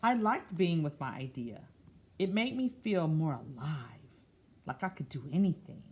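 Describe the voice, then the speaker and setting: A woman reading a picture book aloud expressively, slipping into quieter, musing, conversational asides. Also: low voice, sing-song, a little slow, slightly rough, highs cut off. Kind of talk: expressive read-aloud with soft, chatty asides.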